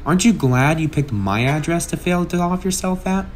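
A man's voice: a little high, animated, normal speed, a little hiss or hum, close to an everyday voice. A man playing a brash, sarcastic masked antihero with a kind streak, in a theatrical comic book dub. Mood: playful, cocky.

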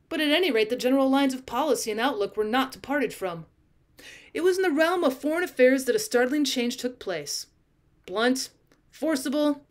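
A woman with a fairly high voice narrates a literary short story. She reads deliberately and expressively, leaning on key phrases as a teacher reading aloud would.

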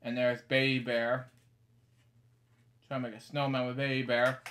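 A man's voice: mid-range voice, little pitch movement, moderate pace, a bit bright. A man in his thirties reading a picture book aloud in a small bedroom, rambling with casual asides and stock phrases.

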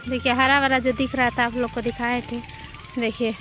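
A young woman with a high, bright voice talks casually to her viewers while walking through village fields. She holds the phone herself, and loud background music plays under her chatter. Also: little pitch movement, moderate pace. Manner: chatty, keeps addressing viewers as friends. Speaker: vlogger. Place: outdoors in village fields at dusk. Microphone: phone camera held in hand.

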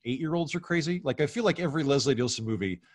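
A man's voice: fairly high pitch, lively intonation, very fast, no echo, studio quality. A middle-aged man speaking casually as a podcast guest, in a discursive, analytical way. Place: small furnished room at home, video call.

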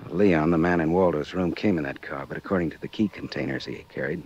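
Hardboiled 1940s radio detective, American, deep voice, wry and world-weary, muffled old-broadcast sound. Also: male voice, fast talker, creaky, darkish tone. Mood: cool, businesslike. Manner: sardonic, clipped, world-weary. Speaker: actor and narrator in a radio drama. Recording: dull 1940s radio recording.

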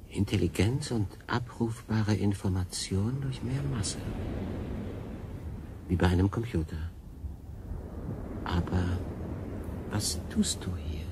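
Tired castaway sailor in a radio play, low pensive voice, noisy background. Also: male voice. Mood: questioning. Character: stranded seafarer, weary, pensive, determined man. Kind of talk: radio drama acting.

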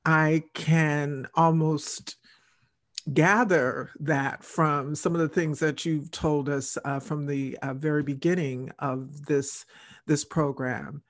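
Slow-speaking older woman hosting. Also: low voice, steady tone. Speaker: interview host. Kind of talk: unscripted interview hosting. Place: room at home, on a video call.

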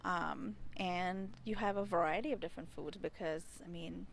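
A woman's voice: fairly low pitch, moderate pace, gravelly. A woman in her thirties, from India and raised in Dubai, answering interview questions outdoors into a handheld mic, thoughtful and a little hesitant as she searches for words. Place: outdoors beside a building wall. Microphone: handheld mic held close.

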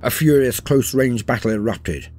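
A man reading a scripted war story as a dramatic narrator, in a grave and measured manner. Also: mid-range voice, lively intonation, a little mellow. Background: music.

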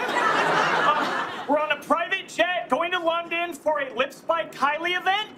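An actor playing a panicked, bewildered American brand staffer forced to fly a plane in a comedy sketch. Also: male voice, very high-pitched, bright, forceful tone, exaggerated voice. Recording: noisy TV sketch with studio audience.

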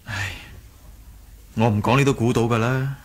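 A man playing an earnest, gentle young rebel leader in a radio drama, sincere and a little hesitant. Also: mid-range voice, normal speed, close to an everyday voice. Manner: sincere, hesitant, soft-hearted. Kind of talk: Cantonese 1980s radio drama acting.

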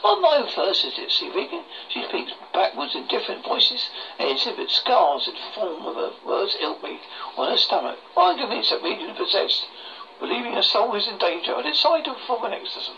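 A horror host reading a scripted story aloud in a halting way, stumbling over words, on a muffled lo-fi recording. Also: mid-range voice, sing-song, bright, forceful tone. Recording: muffled lo-fi recording with faint noise.

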